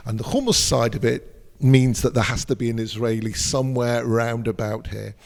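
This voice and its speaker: A gravelly-voiced man hosts a travel podcast in a chatty, curious way. His voice has a mid pitch and carries a long reverb. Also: moderate pace. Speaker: podcast host.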